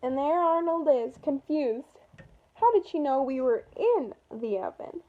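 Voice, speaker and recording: High-voiced woman reading a storybook. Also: animated, a little slow, dark tone, lo-fi sound, slight background noise. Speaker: storybook reader at an environmental learning center. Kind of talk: expressive read-aloud of a children's storybook.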